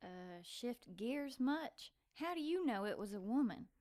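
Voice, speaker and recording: An actress playing an excitable, sassy young woman in an audio drama, sarcastic and quick to take offense. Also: a little high. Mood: flustered, sarcastic. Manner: sarcastic, feisty, fast-reacting.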